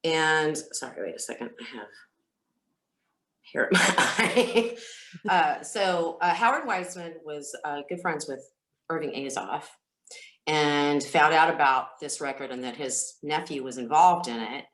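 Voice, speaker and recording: A woman who is a singer telling stories as an interview guest, speaking casually and candidly in a halting, reflective way. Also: low-pitched. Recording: roomy, echoey sound.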